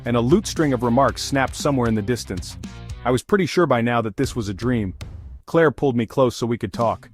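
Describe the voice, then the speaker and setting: A man's voice: mid-range voice, a little fast. A man narrating a first-person comedic fantasy story as a scripted audio series. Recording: music behind the voice.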